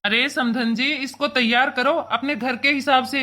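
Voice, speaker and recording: A woman's voice: sits high, steady tone, unhurried, bright, forceful tone. A woman voicing an indignant, protective father who lectures emphatically in a cartoon family drama. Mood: stern lecturing. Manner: emphatic, lecturing, repetitive scolding.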